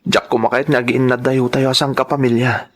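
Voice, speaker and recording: A man's voice: mid-range voice, little pitch movement, fairly quick, close to an everyday voice. Man acting as Rodel, grave protective Ilocano husband. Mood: resolute. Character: Rodel, protective, dutiful husband and tricycle driver. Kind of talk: Ilocano radio drama acting.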